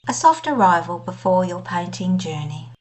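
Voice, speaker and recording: A woman guiding a scripted meditation in a gentle, soothing, unhurried manner. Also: fairly low pitch, sing-song, low-quality recording. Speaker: meditation guide. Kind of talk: scripted guided meditation narration.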